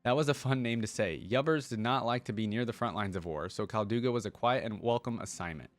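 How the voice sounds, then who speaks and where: A man's voice: medium pitch, quick pace, no room sound. Man reading a story aloud, dry close sound. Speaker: reaction video host.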